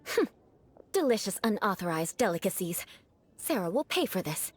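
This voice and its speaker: A woman's voice: medium pitch, sing-song, moderate pace, faint music behind, natural voice. A woman playing a haughty young knight in a video game, formal and theatrically stern, with talk of revenge that masks a caring side. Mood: mock menacing.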